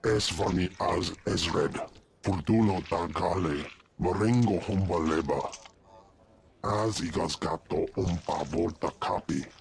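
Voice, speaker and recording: Stern game alien, really low and creaky, takes his time, faint noise behind. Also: measured pace. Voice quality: deep, gravelly.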